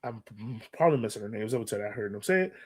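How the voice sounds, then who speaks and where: A man's voice: lowish voice, expressive, rapid-fire. A man co-hosting a sports podcast in casual fan chat, talking emphatically and repeating phrases to drive points home.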